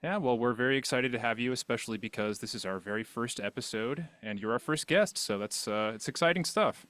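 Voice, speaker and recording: A man's voice: mid-range voice, a little fast, fairly bright tone, no room sound. A man hosting a podcast, interviewing a guest in a casual, conversational style. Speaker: podcast host interviewing an author.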